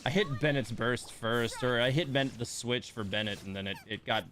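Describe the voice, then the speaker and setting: A man's voice: mid-range voice, moderate pace, fairly bright tone, slight background noise. A man giving casual live game commentary, thinking aloud in broken, hesitant phrases. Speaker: game livestream host.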